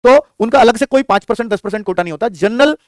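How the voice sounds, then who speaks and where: Fast, high-voiced male teacher. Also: no echo, clean studio sound. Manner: explanatory, addresses listeners familiarly, asks and answers own questions. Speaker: exam coaching teacher. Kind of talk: lesson on job vacancies addressed to students.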